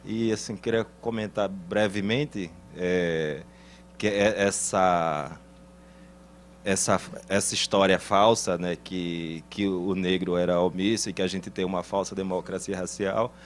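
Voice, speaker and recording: A man with a somewhat low voice speaks up from the audience, asking his question politely and haltingly, often restarting his words. A faint hum sits under the recording. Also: steady tone. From Pernambuco, Brazil.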